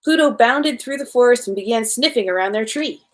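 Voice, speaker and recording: A woman's voice: a little high, animated, brisk. A woman hosting a children's story time, reading a storybook aloud in an informal way with small stumbles.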